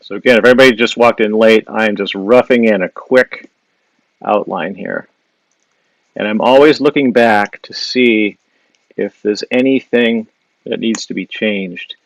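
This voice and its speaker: A man's voice: on the low side, no echo, rough recording. A man from Wisconsin with a Wisconsin accent, an illustrator thinking aloud as he draws and answers viewer questions on a live stream. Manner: thinking aloud while sketching, with frequent fillers and restarts. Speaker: illustrator giving a live drawing demo. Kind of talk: casual live-stream drawing demo, reading viewer questions. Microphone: device mic on a live social video stream.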